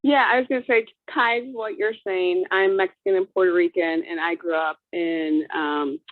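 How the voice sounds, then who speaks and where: A woman in her thirties from Chicago, speaking reflectively on a video call, pausing often with ums. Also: lowish voice, steady tone, dry recording. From Chicago, USA. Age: 30s. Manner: reflective, halting with frequent ums. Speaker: viewer joining a watch-along chat. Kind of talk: casual chat. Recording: tinny phone-like dry line.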